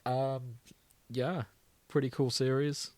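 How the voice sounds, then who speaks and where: A man's voice: mid-range voice, little pitch movement, slow, a little mellow, no room sound. Dry-sounding male podcast host, casual banter. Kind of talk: casual banter between co-hosts.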